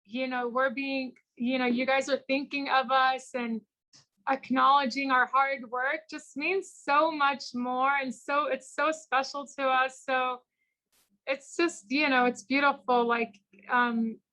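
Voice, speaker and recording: A woman with a high, bright voice chats loosely as an interview guest. She rambles through stories and leans on fillers like "like" and "you know." She slips into the voices of the people she quotes. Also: a little slow, poor audio. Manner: animated storyteller who acts out quoted people. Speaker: small business founder, guest. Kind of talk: casual interview chat.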